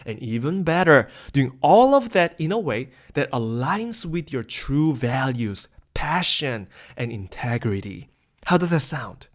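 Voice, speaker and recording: A man in his thirties from South Korea hosting a webinar, delivering an upbeat, persuasive scripted sales pitch. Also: sing-song, measured pace. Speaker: webinar host.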